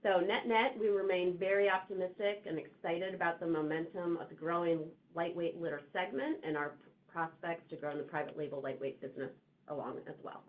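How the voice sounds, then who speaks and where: A woman executive giving a prepared business answer on an earnings call, in measured corporate phrasing. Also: fairly low pitch. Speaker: company executive answering investor questions. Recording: thin, phone-like call line.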